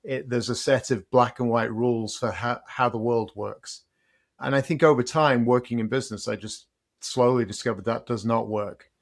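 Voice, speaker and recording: A middle-aged man with a British accent speaks as an interview guest, reflective and measured. His voice sits in a middle pitch. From Britain. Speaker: guest, company CEO and author. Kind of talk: interview conversation.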